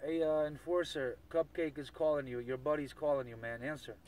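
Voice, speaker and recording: A man's voice: a little high, fairly even intonation, a little fast. A man making casual CB radio chatter in a slangy, repetitive way. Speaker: CB radio operator. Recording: noisy CB radio, heard from afar.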